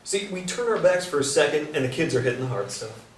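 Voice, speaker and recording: An actor playing a friendly, plain-spoken carpenter in a staged play reading. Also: male voice, a little high, animated, fast talker, lightly acted. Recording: roomy distant stage recording, faint noise.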